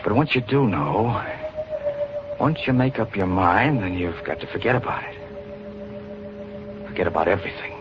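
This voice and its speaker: An actor playing a plain-spoken, brave, bashful young man in an earnest, halting 1940s radio style. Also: lowish voice, animated, music in the background, lightly acted. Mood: grimly resolved. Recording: noisy, muffled 1940s radio recording.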